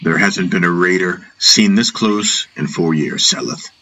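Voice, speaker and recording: A man voicing an earnest, peace-minded young warrior who argues deliberately, in a fantasy audiobook. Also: low-pitched, bright, forceful tone, slight background noise, low-quality recording. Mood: correcting.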